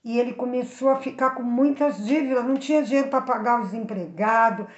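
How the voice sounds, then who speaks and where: A woman's voice: on the high side, brisk. A Brazilian woman telling moral Bible stories to children in an earnest, didactic way, speaking directly to the listener. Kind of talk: moral storytelling with preaching for children. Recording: echoey room, slightly dull sound.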